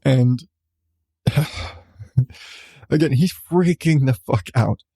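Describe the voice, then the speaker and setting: A man's voice: mid-range voice, drawn-out words, creaky, mellow, slightly rough, no room sound, clean studio sound. A man in his thirties, a podcast host telling a personal story casually, with swearing and emphatic repetition. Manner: profane, emphatic, repeats phrases for effect.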